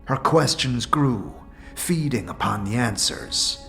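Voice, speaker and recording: A man's voice: medium pitch, expressive, slow, creaky, a bit bright, soft background music, full character voice. A man playing a grave, stoic otherworldly warrior monk in a fantasy audio drama, speaking solemnly in formal, archaic phrasing. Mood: measured, instructive.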